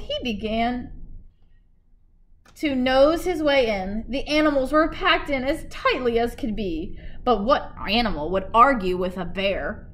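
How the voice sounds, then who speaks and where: A young adult woman reading a children's picture book aloud like a teacher, expressively, with asides and questions to her young listeners. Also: sits high. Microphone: device in front at about arm's length, speaking through a face mask.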